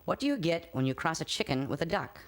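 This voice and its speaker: Very loud noise nearly covers a quiet, distant man telling riddle jokes. His mid-pitched voice is animated, swinging between question and punchline. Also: fast.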